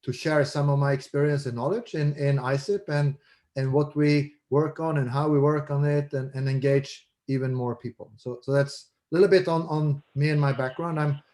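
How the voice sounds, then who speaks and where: A man with a Swedish accent and a voice pitched a little high speaks as incoming president at an online board meeting. His delivery is informal, and he often repeats a word or two as he builds each sentence. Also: fairly even intonation, normal speed, a little mellow. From Sweden. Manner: repeats words as he goes. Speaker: incoming president of a professional association. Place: video conference call.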